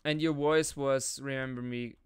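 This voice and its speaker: A man's voice: medium pitch, fairly even intonation, normal speed, clean tone. A man from Switzerland with a Swiss German accent, livestreaming and bantering casually while gaming, in a playful, teasing way. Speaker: live game streamer.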